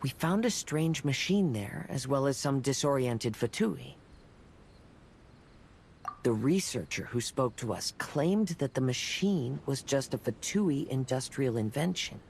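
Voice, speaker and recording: A man playing a calm, cautious young swordsman in a video game, speaking in a measured, reflective way. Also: medium pitch, creaky, a little hiss or hum. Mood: measured, explaining. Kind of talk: video game cutscene acting.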